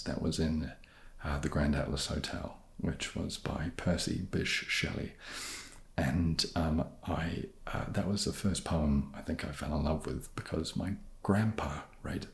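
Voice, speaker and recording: A soft-spoken man casually answering viewer questions in a gentle, wry way. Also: extremely low pitch, unhurried, creaky. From New Zealand.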